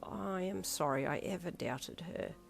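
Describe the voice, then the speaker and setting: A woman voicing a frail elderly father who speaks softly and slowly, close to tears. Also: lowish voice, creaky, soft background music. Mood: tearful. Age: older. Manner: soft, slow, emotional.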